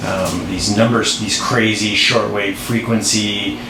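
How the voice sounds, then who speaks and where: A man's voice: fairly low pitch, little pitch movement, takes it slowly. A man presenting an informal, unscripted board game tutorial, rambling and easily distracted, breaking off into asides. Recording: roomy echo, clear background noise.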